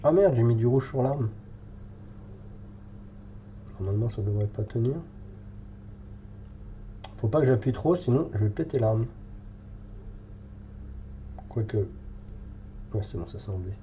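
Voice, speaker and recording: A man's voice: mid-range voice, animated, measured pace, long pauses, dull audio, slight background noise. A French man with a cold, thinking aloud with many fillers as he paints live in a hobby tutorial. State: has a cold, congested.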